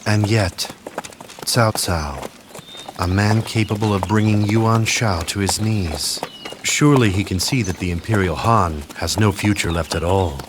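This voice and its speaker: A man playing a shrewd, haughty military strategist of ancient China in a video game cutscene, speaking in a measured, condescending, theatrical way. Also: lowish voice, background noise, close to an everyday voice. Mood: weary disdain. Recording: loud music under the voice. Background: game music.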